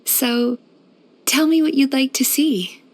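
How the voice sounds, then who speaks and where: A woman playing a gentle, caring female psychologist in a video game, speaking in a soothing, thoughtful, measured and softly playful manner. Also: high voice, fairly even intonation. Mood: grateful, earnest. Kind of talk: scripted video game character voice lines.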